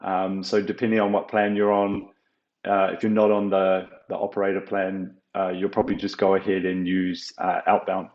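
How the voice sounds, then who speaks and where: A man with a fairly low voice talks through a software walkthrough. He explains step by step in a halting, unscripted way, full of ums and uhs. The recording is roomy and echoing, with a slightly dull tone. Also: monotone. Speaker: software tutorial presenter. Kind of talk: unscripted screen-share walkthrough.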